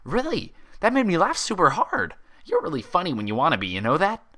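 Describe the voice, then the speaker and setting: A man's voice: fairly high pitch, huge pitch swings, a little fast, bright, forceful tone, pitched above the performer's own voice, full character voice. A man voicing an eager, childish female cartoon pony in a story reading, with a bouncy, naive, exaggerated manner. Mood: amused. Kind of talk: dramatized fan fiction reading.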